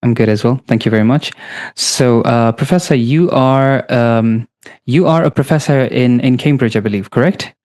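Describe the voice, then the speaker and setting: A man hosting an interview podcast, asking questions haltingly with many fillers. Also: mid-range voice, darkish tone. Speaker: podcast host.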